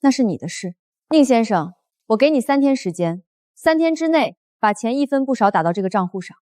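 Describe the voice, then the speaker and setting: A woman's voice: a little high, animated, brisk, warm tone, dry recording, natural voice. An actress playing a commanding, protective wealthy woman executive, cool and authoritative in a drama. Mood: stern, cold. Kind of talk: short-drama acting.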